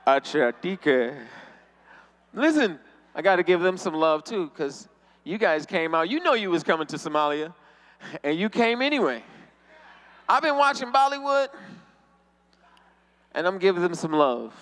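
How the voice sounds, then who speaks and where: A man's voice: sits high, big pitch swings, normal speed, pauses often, fairly bright tone. A man doing stand-up at a convention, teasing the crowd and laughing often. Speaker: stand-up comedian. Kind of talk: stand-up comedy. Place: convention hall stage.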